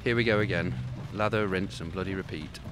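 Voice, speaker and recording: A man's voice: low voice, a little fast, noisy background, natural voice. A man playing a grumbling but loyal detective sergeant in a radio play, with a sardonic manner. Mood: weary sarcasm. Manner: grouchy, sardonic. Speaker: actor in amateur college radio play.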